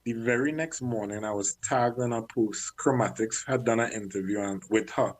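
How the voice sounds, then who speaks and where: A man working as a music manager, chatting candidly in an interview and often clearing his throat. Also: fairly low pitch, moderate pace, low-quality recording. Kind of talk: casual interview talk.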